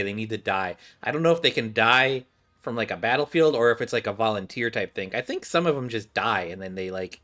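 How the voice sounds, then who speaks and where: Halting man commenting casually on a game. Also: lowish voice, a bit bright. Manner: halting, thinking aloud, repeats himself. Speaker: streamer playing a game.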